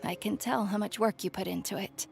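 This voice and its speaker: A woman's voice: medium pitch, fairly even intonation, brisk, gravelly, over music, close to an everyday voice. A woman voicing a proud, stoic tribeswoman in a video game, wry and chiding in a familiar way. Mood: dismissive, fond. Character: proud, teasing, wounded but stoic tribeswoman.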